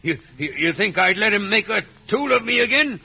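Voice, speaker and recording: Defiant runaway convict, high-set and harshly sharp, gravel in the throat, old radio drama sound. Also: male voice, animated, brisk, full character voice. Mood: bitter, scornful. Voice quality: gravelly, rasping. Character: rough, defiant escaped convict with a grudging conscience. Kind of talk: 1950s radio drama acting. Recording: muffled vintage radio broadcast.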